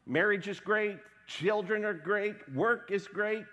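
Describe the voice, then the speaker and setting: A man preaching a sermon as pastor, congested from a cold, with a wry, deadpan humor. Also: high-pitched, takes it slowly. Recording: long hall reverb.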